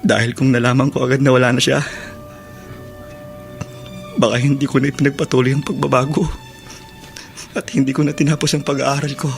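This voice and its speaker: Actor as remorseful younger son, Filipino accent, radio drama, mid-range male voice, earnest, emotional, soft music behind. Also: mid-range voice, little pitch movement, a little fast, gravelly, long pauses, close to an everyday voice. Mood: remorseful, grateful. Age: young adult. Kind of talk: Tagalog radio drama acting. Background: background music.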